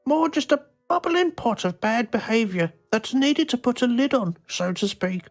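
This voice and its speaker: A woman's voice: fairly high pitch, lively intonation, moderate pace, faint music behind. A woman voicing a blunt, exasperated mother in a comedy game. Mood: weary, exasperated. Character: fed-up, scolding British mother of a grown son.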